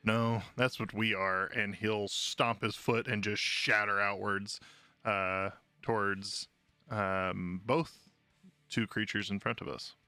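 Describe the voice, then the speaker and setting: A man's voice: lowish voice, fairly even intonation, slow pace, sharp tone, clean tone, no echo, soft background music. A man talking casually as a player in a tabletop game. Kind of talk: casual game play talk.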